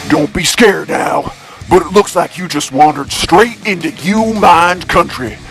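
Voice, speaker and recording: A man playing a brash, boastful conspiracy radio host, delivering an over-the-top show intro with shouty, drawn-out hype over music. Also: fairly high pitch, vocal fry. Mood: fired up, boastful. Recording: music bed behind the voice.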